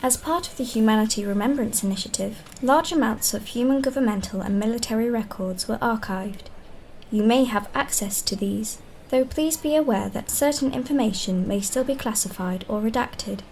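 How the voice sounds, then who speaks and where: A woman's voice: fairly high pitch, normal speed, a little mellow, a little hiss or hum, lightly acted, rough recording. A woman voicing a polite, impassive computer interface in a science-fiction audio drama, with formal, emotionless delivery. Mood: polite, neutral.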